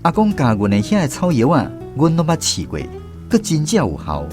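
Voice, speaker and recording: Expressive Taiwanese male storyteller. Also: fairly high pitch, fairly quick, music in the background. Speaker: radio drama narrator. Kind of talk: scripted first-person storytelling narration.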